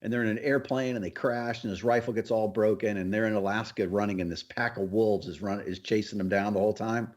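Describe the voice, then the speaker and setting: A man's voice: lowish voice, fairly even intonation, fairly quick, hardly any pauses, muffled recording. A middle-aged man co-hosting a podcast, telling stories in casual conversation. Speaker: podcast co-host. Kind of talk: casual chat, storytelling.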